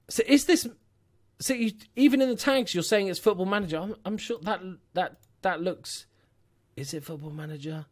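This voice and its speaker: A man giving a casual, rambling critique of a gaming channel as a YouTube coach, often correcting himself mid-sentence. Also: medium pitch. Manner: rambling, self-correcting, chatty. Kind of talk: casual screen-share critique.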